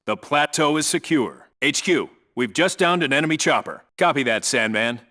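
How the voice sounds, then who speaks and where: A no-nonsense commando leader from a military video game. His mid-pitched voice has a hard, bright edge. He gives clipped, businesslike reports and orders with firm authority. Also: animated, moderate pace. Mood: focused, businesslike. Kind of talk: scripted radio call-outs, video game military acting.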